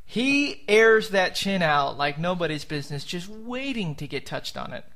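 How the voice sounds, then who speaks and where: A man's voice: high voice, sing-song, moderate pace, pushed and bright. A man co-hosting a casual sports podcast, joking and teasing and often laughing at his own gags. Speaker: podcast co-host.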